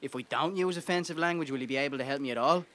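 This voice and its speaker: A man's voice: fairly high pitch, very fast, fairly bright tone, natural voice. Desperate homeless Irish father, played by a man, pleading. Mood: bitter, frustrated. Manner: pleading, sweary, exasperated. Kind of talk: radio play acting.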